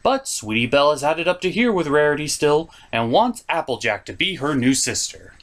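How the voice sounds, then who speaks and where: A man's voice: a little high, big pitch swings, brisk, fairly bright tone. A man hosting a scripted comedic episode review with a sarcastic delivery. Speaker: reviewer, host.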